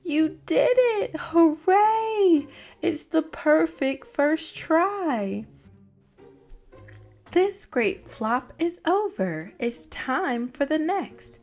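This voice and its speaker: Woman playing a spirited, expressive old great-great-aunt. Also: sits high, extremely slow, faint music behind, voice pushed up, exaggerated voice. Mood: jubilant.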